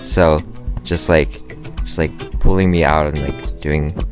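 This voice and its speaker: Man, very deep voice, chatty, casual, filler-heavy, soft guitar behind. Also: steady tone, measured pace. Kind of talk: casual interview testimonial.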